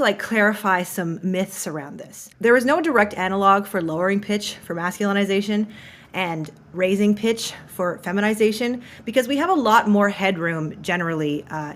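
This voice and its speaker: A woman voice teacher walks through a lesson in a clear, friendly manner. Her pitch is mid-range for a woman, and she explains each step patiently, as if teaching online. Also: brisk, a bit bright. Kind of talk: instructional explainer.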